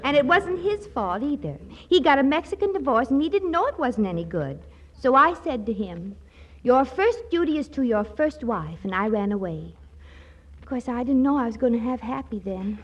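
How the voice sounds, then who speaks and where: Naive high-voiced young mother. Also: lively intonation, moderate pace, husky, lo-fi sound. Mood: coy, guileless. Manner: innocent, matter-of-fact. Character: naive, guileless, chatty young single mother. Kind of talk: 1940s radio comedy acting. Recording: vintage 1940s radio broadcast.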